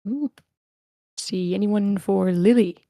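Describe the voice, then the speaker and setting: A woman's voice: medium pitch, slow pace, warm tone, no echo. A woman casually hosting an online group read, polite and informal, often thinking aloud as she organizes things. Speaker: host of online table read. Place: online voice meeting.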